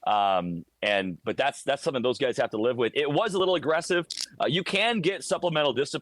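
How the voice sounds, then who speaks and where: A man's voice: medium pitch, a little fast, no echo. Male hockey announcer chatting, dry close sound. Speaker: hockey radio play-by-play announcer, guest. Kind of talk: casual sports talk, live game-day report. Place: high broadcast booth inside a hockey arena.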